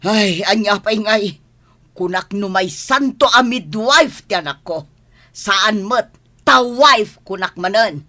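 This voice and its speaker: A woman's voice: mid-range voice, expressive, slow, sharp tone. A woman with an Ilocano accent acting in a radio drama as a scolding, bitterly disappointed village mother. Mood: bitter reproach. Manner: scolding, exclamatory, lamenting.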